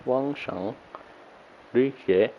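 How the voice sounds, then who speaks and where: A man's voice: mid-range voice, extremely slow, phone-quality sound, slight background noise. A man from the Philippines giving casual live commentary as he streams a game. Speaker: game livestreamer.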